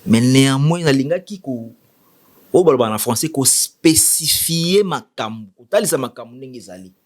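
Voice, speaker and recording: A man's voice: mid-range voice, expressive, moderate pace. A man with a Congolese accent giving political commentary in an interview, explaining emphatically and repeating his key points. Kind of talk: political interview, mixing French and Lingala.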